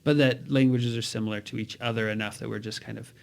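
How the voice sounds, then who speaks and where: A man's voice: medium pitch, steady tone, fast talker, gravelly. A man speaking as an expert guest, explaining linguistics conversationally with examples and questions, in a didactic way.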